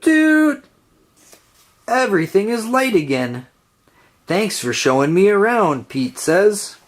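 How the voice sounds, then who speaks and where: A woman reading a children's picture book aloud in a gentle storytelling way. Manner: slow, gentle storytelling with lively pitch. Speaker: storybook reader.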